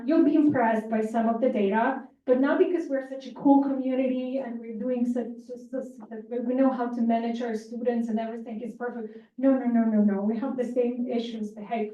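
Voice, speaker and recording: A woman from Ukraine with a Ukrainian accent, giving a lively, informal conference presentation and often correcting herself as she talks. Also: a little high. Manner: lively, informal, self-correcting, moves around while talking. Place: conference room. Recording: distant, dull, echoey room recording.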